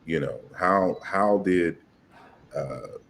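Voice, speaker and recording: A man's voice: deep voice, drawn-out words, a bit bright, a little hiss or hum. A man hosting an online interview, talking hesitantly with many "you know"s and repeated words. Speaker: host.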